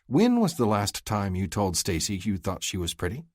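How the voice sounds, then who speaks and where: A man's voice: fairly low pitch, huge pitch swings, a little mellow, no room sound, studio quality. A man voicing a bossy, teasing young woman who lectures her brother. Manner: lecturing, brisk.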